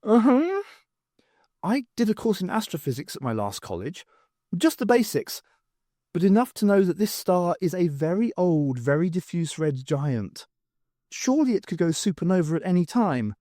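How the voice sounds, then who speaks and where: Man as a high-voiced, earnest young student. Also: sing-song, normal speed, dark tone, no echo, clean studio sound. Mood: earnest, nervous. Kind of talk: audiobook character acting.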